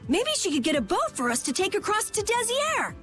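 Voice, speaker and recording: A woman voicing an eager, naive young boy adventurer in a video game, earnest and excitable. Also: very high-pitched, brisk, faint music behind, heavily acted. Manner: earnest, boyish. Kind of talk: video game dub acting.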